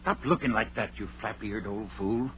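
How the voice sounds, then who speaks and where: Over a narrow, noisy old radio recording, an actor plays a gruff small-town doctor in a horror drama. His low voice is irritable and skeptical, dismissive but shaken. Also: normal speed, close to an everyday voice. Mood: gruff disbelief.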